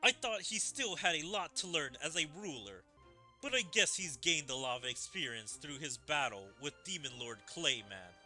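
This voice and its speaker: A man voicing a proud, measured warrior king from a game, speaking gravely and deliberately. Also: fairly high pitch, expressive, pushed and bright, faint music behind.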